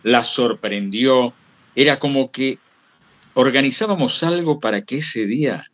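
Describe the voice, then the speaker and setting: A man's voice: mid-range voice, measured pace, fairly bright tone. A man with a Buenos Aires Argentine accent telling anecdotes at a public talk, digressing and correcting himself as he goes. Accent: Buenos Aires Argentine Spanish. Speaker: interview guest, radio host.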